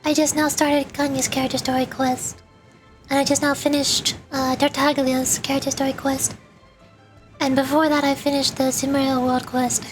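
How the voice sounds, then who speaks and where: A woman streaming a game playthrough, chatting casually and reading the game's dialogue aloud in a flat, steady way. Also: sits high, moderate pace, soft background music, rough recording.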